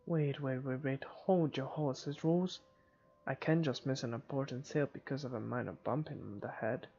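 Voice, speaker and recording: A man's voice: medium pitch, moderate pace, gravelly, a little mellow, muffled recording, slight background noise. A man reading a visual novel aloud as dramatic narration for a playthrough.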